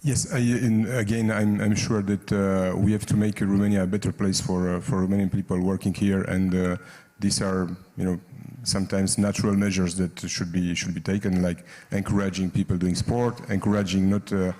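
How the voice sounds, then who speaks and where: A man's voice: sits low, level tone, normal speed, warm tone. A man moderating a conference discussion in a hesitant, conversational way, recorded with long hall reverb. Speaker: panel moderator.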